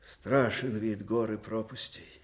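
An actor playing a shrewd, fervent elderly military commander in a radio drama, with emphatic, theatrical delivery that shifts abruptly. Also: male voice, lowish voice, steady tone, takes it slowly. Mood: grave, wary. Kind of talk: Soviet radio drama acting.

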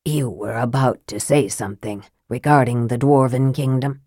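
A woman voicing a frail young male mage who speaks softly and coolly, with a mocking edge. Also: rumbling low, fairly even intonation, normal speed, dry recording, studio quality, pitched below the performer's own voice. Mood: sly. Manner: soft-spoken, coolly mocking.

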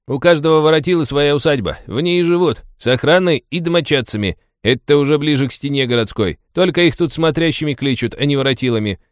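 Man voicing a chatty cabbie, pitched up. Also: quick pace, few pauses, clean tone, no echo, studio quality, lightly acted. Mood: matter-of-fact explaining. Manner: colloquial, wry, clowning. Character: chatty, sardonic male taxi driver, a streetwise local guide.

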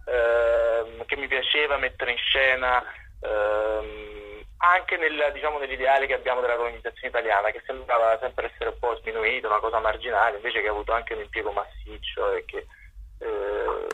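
A man with a husky voice giving conversational, hesitant and discursive answers as a radio interview guest. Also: medium pitch, steady tone, moderate pace, bright, forceful tone, lo-fi sound. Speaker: reporter, playwright and director, interview guest.

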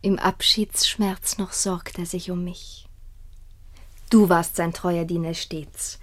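An actress playing a passionate, devoted and defiant young princess in a classic radio play, declaiming with intensity. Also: female voice, fairly low pitch, steady tone, slow pace. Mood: anxious longing. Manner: declamatory, intense, dropping into breathy whispers.

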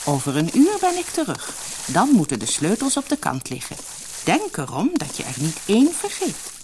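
A woman voicing a stern, mysterious old woman in a fairy tale, speaking gravely and with command. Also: on the low side, wildly swooping pitch, normal speed, a little mellow, slight background noise.

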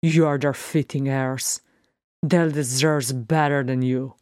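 A narrator voicing a grim, humorless male crown prince in a fantasy story, with flat, sarcastic severity. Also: mid-range voice, takes it slowly, no room sound, clean studio sound, close to an everyday voice. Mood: grim contempt.

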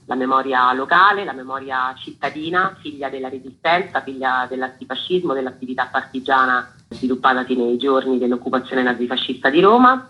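Man, forceful bright tone, fairly high voice, formal interview guest, articulate listing. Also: poor audio. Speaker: festival organiser, radio guest. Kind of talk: formal explanatory interview talk.

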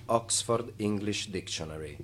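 An actor as the sober narrator of a radio play, reading in a measured, detached, declarative way. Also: male voice, on the low side, a little hiss or hum, lightly acted. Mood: formal. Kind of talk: scripted radio drama narration.

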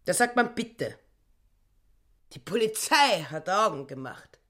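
A woman's voice: medium pitch, sing-song, takes it slowly, a bit bright, exaggerated voice. A grasping, self-righteous housewife in a radio play. Her voice swings up and down widely as she nags in clipped, aggrieved, repetitive phrases. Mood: indignant, accusing. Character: grasping, narrow-minded, self-righteous shrewish housewife, full of accusations. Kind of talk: radio drama acting.

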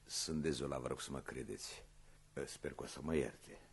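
A man's voice: extremely low pitch, gravelly, slightly rough, heavily acted. An actor playing a shrewd, methodical police commissioner in a radio play, probing his witnesses with courteous care.

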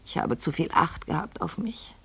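Vain anxious lady, radio drama, gravelly creak, husky breath, brooding, poetic, theatrical whispers. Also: on the low side, animated, normal speed, fairly bright tone, heavily acted. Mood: yearning. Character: vain, anxious lady obsessed with youth and beauty. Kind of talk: German radio play acting.

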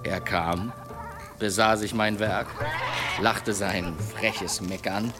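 An actor playing a boastful, theatrical demon hunter who spins tall tales with grand relish in a German historical audio drama. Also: male voice, on the low side, steady tone, slow, music in the background. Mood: suspenseful, boastful.

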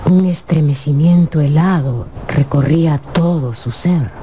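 A woman narrator telling a dramatic scripted story for radio in an expressive, measured way. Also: deep voice, slight background noise. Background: music.

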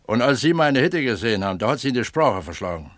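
An actor playing an older pump attendant who writes, proud, blunt and terse, in a 1970s East German radio drama. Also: male voice, mid-range voice, big pitch swings, quick pace, fairly bright tone, dry recording, studio quality. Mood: resigned, stubborn. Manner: plain-spoken, terse.

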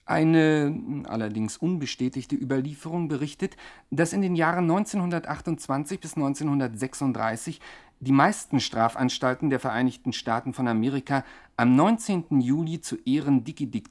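A man narrating a 1960s German radio comedy as a formal, deadpan mock documentary. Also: medium pitch, normal speed.

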